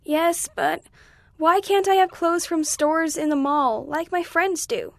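An earnest, sensitive young schoolgirl from a children's story, acted by a woman. The voice sits high and is recorded dry and close. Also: slow, fairly bright tone, dry recording, full character voice. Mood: questioning, plaintive. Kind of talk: children's story dialogue reading.

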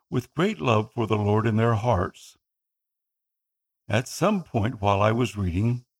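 Man, Tagalog speaker, slightly gravelly, earnest narrator for a scripted personal story. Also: medium pitch. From Philippines. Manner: earnest, measured reading.

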